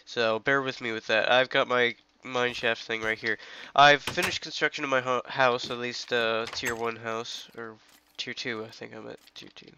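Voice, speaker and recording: A congested young man talking through a game on a headset mic, chatty and rambling, with sudden excited outbursts. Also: medium pitch, moderate pace, a little hiss or hum. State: congested from illness. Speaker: gaming channel host. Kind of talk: casual let's play commentary.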